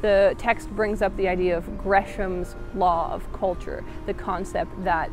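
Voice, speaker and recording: A young woman in her twenties narrating a documentary to camera outdoors in an earnest, measured way, on a lapel mic. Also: mid-range voice, fairly even intonation. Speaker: documentary maker and interviewer. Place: outdoors by a stone wall. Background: background music.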